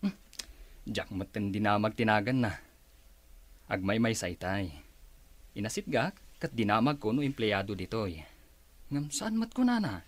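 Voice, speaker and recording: A man's voice: fairly low pitch, expressive, moderate pace, pauses often, no room sound. A man with an Ilocano accent playing a teasing, curious older brother in a radio drama. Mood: casual, curious.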